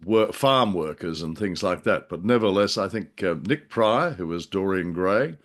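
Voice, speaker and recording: A man co-hosting a casual discussion, speaking in a wordy, halting and wry way. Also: sits low, animated. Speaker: podcast co-host.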